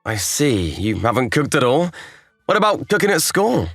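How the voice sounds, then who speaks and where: Man voicing an expressive, easygoing young guy. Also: mid-range voice, moderate pace, soft background music. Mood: teasing, placating. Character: easygoing, kindly young office-worker stepbrother. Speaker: narrator and lead character. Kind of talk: manga dub narration and dialogue.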